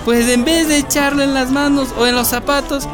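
A tipsy street-corner drinker. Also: male voice, extremely high pitch, fairly even intonation, fairly quick, over music. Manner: boozy, jokey.

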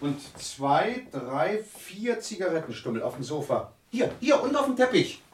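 An actor playing an observant, deferential detective sergeant who reports facts in a German radio crime drama. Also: male voice, sits high, very animated, measured pace, slight background noise.

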